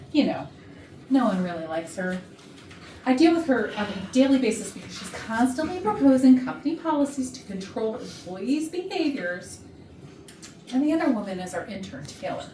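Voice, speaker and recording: Woman acting a gossipy, flirty office worker, animated. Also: high-pitched. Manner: chatty, suggestive, conspiratorial. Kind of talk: comedic stage monologue to the audience. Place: indoor rehearsal room. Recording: roomy rehearsal, distant mic, noisy background.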